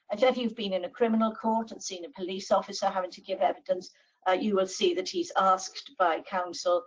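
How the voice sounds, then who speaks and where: A woman with a Welsh accent giving a deliberate legal presentation on a video call, with frequent pauses and fillers. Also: medium pitch, distant mic, poor audio. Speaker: law professor speaking on a webinar. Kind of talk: measured expert presentation.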